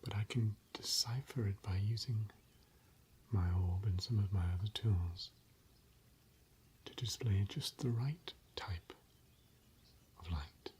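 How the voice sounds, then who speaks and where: A man softly whispering as a gentle scholar of ancient elvish lore, in an ASMR roleplay. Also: lowish voice, takes it slowly, pauses often, a little hiss or hum. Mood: hushed. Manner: soft whispering. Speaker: ASMR performer.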